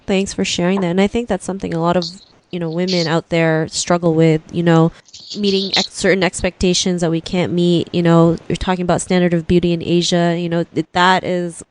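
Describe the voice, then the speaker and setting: A woman's voice: on the low side, level tone, brisk, slight background noise. A woman from the Philippines hosting a podcast interview, encouraging, with many "you know" fillers. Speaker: podcast host.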